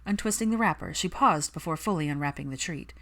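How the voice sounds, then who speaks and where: A woman's voice: low voice, lively intonation, fast talker, a bit bright. A woman reading a story aloud as an audiobook narrator, in scripted narration. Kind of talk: scripted fan fiction narration.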